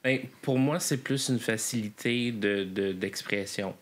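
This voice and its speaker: A man, a band member guest who explains his thinking with pauses and restarts. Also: fairly low pitch. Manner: thoughtful, halting explanations. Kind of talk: casual interview answers.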